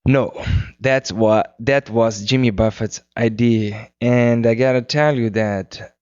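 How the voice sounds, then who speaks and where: A Cape Verdean man whose first language is Creole, answering interview questions in English with unhurried speech, searching for words. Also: mid-range voice, steady tone, a little mellow, clean tone, dry recording. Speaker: guest singer-songwriter. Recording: muffled 2009 radio interview.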